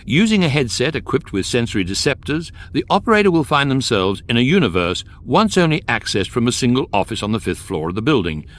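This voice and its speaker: A man's voice: mid-range voice, very animated, brisk, hardly any pauses, a bit bright. A man playing a droll, all-knowing electronic guidebook, narrating a comic radio drama in a dry, mock-lofty manner. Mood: wry, explanatory.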